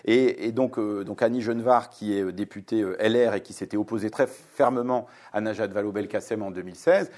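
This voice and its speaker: A man's voice: on the low side, brisk, hardly any pauses, a little mellow. A man in his forties, from Lebanon, a guest author answering interview questions fluently and with many hesitations. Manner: fluent, reasoned, many fillers. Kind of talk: radio interview.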